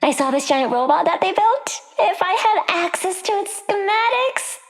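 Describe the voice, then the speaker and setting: A woman voicing an eager, curious young female hero in a video game, excitable in tone. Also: extremely high pitch, moderate pace. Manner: bright, excitable.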